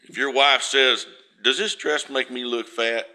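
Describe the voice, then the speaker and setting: A man's voice: mid-range voice, fairly even intonation, unhurried. A man preaching in a homespun, storytelling way. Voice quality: naturally loud voice. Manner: homespun, storytelling, rises loud for emphasis. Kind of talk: folksy sermon.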